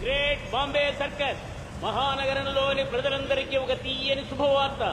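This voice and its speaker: An actor playing a declamatory circus announcer, making a street announcement to townspeople in a comedy film. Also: male voice, very high voice, monotone, normal speed, over loud music. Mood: grand, promotional. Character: loud male circus announcer hawking shows to townspeople. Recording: echoey outdoor announcement with loud street noise.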